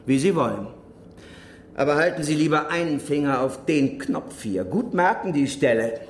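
A man's voice: on the high side, lively intonation, moderate pace, a little hiss or hum, lightly acted. An actor playing a menacing, contemptuous man with a commanding, scornful manner in a radio crime drama. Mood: cold, threatening. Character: menacing, contemptuous man demanding his money.

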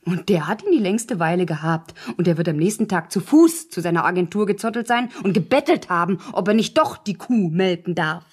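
Chatty woman, slightly husky, colloquial storyteller, radio play dialogue. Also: fairly low pitch, lively intonation, a little fast, hardly any pauses. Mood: amused musing. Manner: colloquial storytelling, quoting characters directly. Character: chatty woman spinning out a novel's plot.

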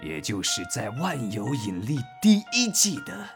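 A man's voice: mid-range voice, huge pitch swings, a little slow, music in the background. A man who works as a voice actor, hosting a casual livestream in a playful, joking manner. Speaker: voice actor, guest and host.

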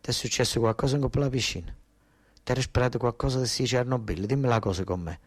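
A man's voice: medium pitch, quick pace, no echo, lightly acted. A man voicing a worried, doting village mother with a southern Italian accent, asking anxious questions in homely dialect-tinged speech, in a stage monologue. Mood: worried, tender.

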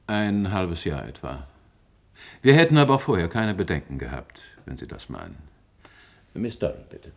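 An actor playing a self-assured institute president in a science fiction radio play, speaking in a formal, official manner. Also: male voice, sits low, very animated, normal speed, vocal fry, slight background noise, full character voice. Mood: defensive.

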